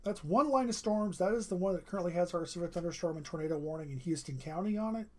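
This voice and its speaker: A weather livestreamer talks steadily through live radar maps. The voice is strained and keeps breaking off to clear the throat. It sits at an ordinary middle pitch. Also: quick pace.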